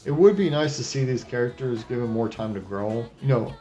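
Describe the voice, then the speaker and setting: Man, casual review narration, sarcastic and irreverent, medium pitch, profane asides, music underneath. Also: lively intonation, brisk, warm tone. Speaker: anime reviewer and video host. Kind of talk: casual scripted retrospective narration over clips.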